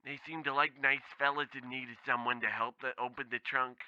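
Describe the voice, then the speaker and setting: A man's voice: medium pitch, steady tone, brisk, sharp tone, clear, resonant tone, no echo, dull audio. A man playing a blithely tactless temp agency clerk who rambles and bumbles in a comedy radio play. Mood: ominous warning. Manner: rambling, bumbling, bluntly insensitive.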